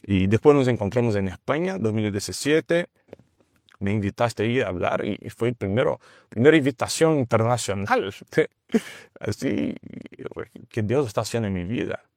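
Brazilian man speaking halting Spanish. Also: lowish voice, lively intonation, darkish tone, dry recording, clean studio sound. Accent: Brazilian Portuguese. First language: Portuguese. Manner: halting, with restarts and searching for words. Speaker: guest, teacher of a ministry. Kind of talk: conversational testimony.

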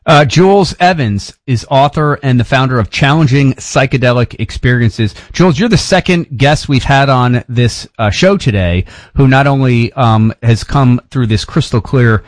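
Rambling American radio host. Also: male voice, mid-range voice, moderate pace, dry recording. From United States. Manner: rambling, many fillers and restarts. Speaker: radio talk show host. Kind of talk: casual radio interview chat.

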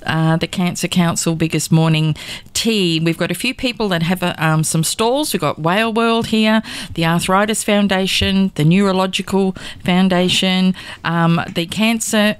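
A woman's voice: fairly low pitch, moderate pace, smooth, dry recording. A woman with a bubbly, upbeat manner, chatting on community radio and listing raffle prizes with little ums. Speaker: community radio volunteer and presenter. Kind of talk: casual on-air chat.

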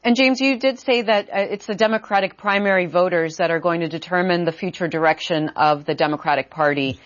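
A female host runs a call-in show briskly, announcing each caller and steering the conversation. Her sound is slightly dull. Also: on the low side. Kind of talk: talk show hosting.

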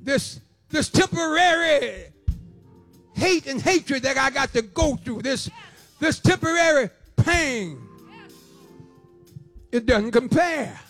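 Male preacher, seventies. Very high pitch, slow rhythmic declamation, impassioned and repetitive. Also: expressive, a bit bright, faint music behind. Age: 70s. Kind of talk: impassioned sermon.

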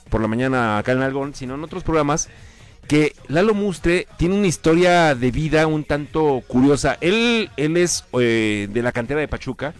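A man, chatting casually about football on a show, rambling with many fillers. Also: a little high. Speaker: sports commentator on a radio show. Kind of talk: casual sports chat.

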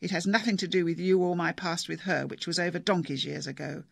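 A man playing a calm, insistent politician. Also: fairly high pitch, fairly quick, vocal fry, no echo, studio quality.

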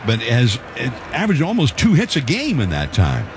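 A male play-by-play announcer calling a baseball game in a conversational, measured way. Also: noisy background. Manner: conversational, measured, calls pitches with rising excitement. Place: stadium broadcast booth.

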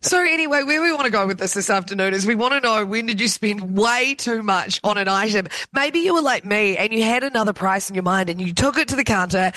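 A woman, co-hosting a radio show in casual banter, her voice going hoarse, laughing mid-sentence. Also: medium pitch, animated, brisk, few pauses, sharp tone, dry recording. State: losing her voice. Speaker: radio host.

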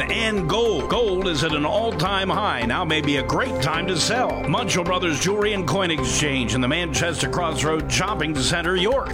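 A man reading a scripted retail commercial as a radio announcer. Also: a little high.

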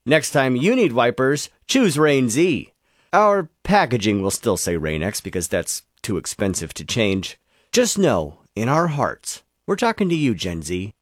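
A man's voice: medium pitch, sing-song, unhurried, no echo, clean studio sound. A man reading a tongue-in-cheek scripted commercial as the announcer.

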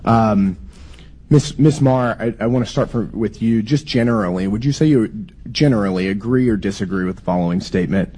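Hoarse man grilling a witness. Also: lowish voice, a little fast, warm tone. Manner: pointed, stumbles and restarts. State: hoarse from a cold. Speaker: lawmaker questioning a witness. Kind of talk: hearing testimony questioning.

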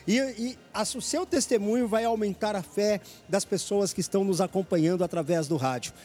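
A man's voice: high-pitched, brisk, darkish tone. A man hosting an evangelical radio program from a church headquarters, preaching with fervent urgency.

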